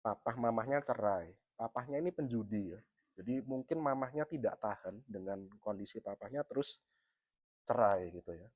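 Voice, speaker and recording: A man's voice: fairly low pitch, normal speed, no room sound, clean studio sound. Javanese-accented man preaching conversationally. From East Java, Indonesia. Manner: conversational, tags nearly every phrase with "ya"; addresses the congregation often. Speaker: pastor. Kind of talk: sermon.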